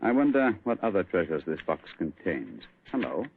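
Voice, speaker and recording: An actor playing a brisk, commanding male detective who speaks crisply, in an old radio play with a tinny sound. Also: low voice, big pitch swings, a little hiss or hum, exaggerated voice. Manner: crisp, authoritative. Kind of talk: 1940s radio acting.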